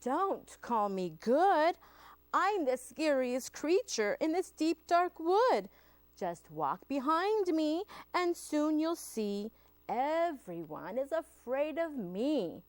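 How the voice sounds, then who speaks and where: Woman voicing a high, cunning little storybook mouse. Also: sing-song, slow, dry recording, full character voice. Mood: indignant, boastful. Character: small cunning boastful male mouse.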